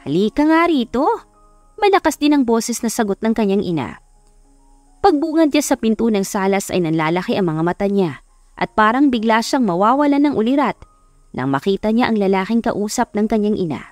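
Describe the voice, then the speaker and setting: A woman's voice: medium pitch, animated, a little fast, darkish tone, dry recording. A woman with a Filipino accent narrating a scripted romance story as an audiobook. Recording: music behind the voice. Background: background music.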